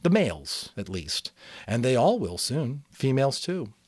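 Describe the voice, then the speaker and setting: A man voicing a gentle, reassuring mother who explains patiently in a novel reading. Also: mid-range voice, sing-song, measured pace, dry recording. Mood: patient, instructing.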